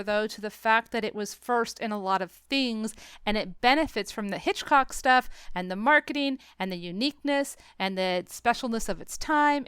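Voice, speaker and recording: A woman hosting a podcast, talking casually about books and films in an animated, emphatic, argumentative way. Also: on the high side, smooth, no room sound, studio quality. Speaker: podcast host. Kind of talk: casual book and film discussion.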